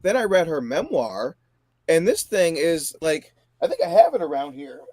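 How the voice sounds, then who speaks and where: A man's voice: a little high, big pitch swings, moderate pace, darkish tone, no echo. A man around forty chatting casually about politics as a show guest. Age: 40s.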